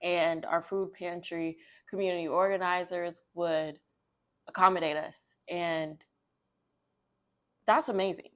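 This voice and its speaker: A woman with a fairly low-pitched voice hosts a read-aloud of children's picture books. She talks conversationally and tends to wander into personal asides. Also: fairly even intonation, a little slow, pauses often.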